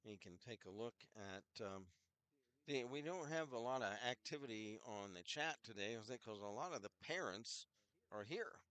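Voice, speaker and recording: A man's voice: mid-range voice, expressive, normal speed, fairly bright tone, clean tone, dry recording. An older man with a head cold and scratchy throat, chatting casually as a hockey announcer between plays. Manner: rambling, chatty, self-deprecating asides. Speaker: play-by-play announcer. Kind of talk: casual hockey broadcast commentary.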